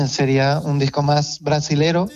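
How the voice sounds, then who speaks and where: A man's voice: a little high, steady tone, a little slow, a little mellow, dull audio. A man from Portugal speaking Spanish with a Portuguese accent, a singer giving an interview, thoughtful and hesitant, often restarting his phrases. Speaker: singer, guest. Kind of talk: radio interview, relaxed conversation.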